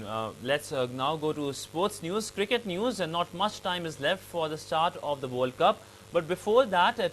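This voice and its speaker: A man with an Indian accent reading the news in a formal, slightly halting way. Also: on the high side, a bit bright, slight background noise, low-quality recording. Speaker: news anchor.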